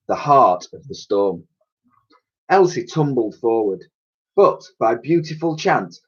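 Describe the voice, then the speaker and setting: A man's voice: medium pitch, husky, dull audio. A man reading a children's story aloud like a teacher, with theatrical, suspenseful narration and emphatic sound effects spoken by mouth.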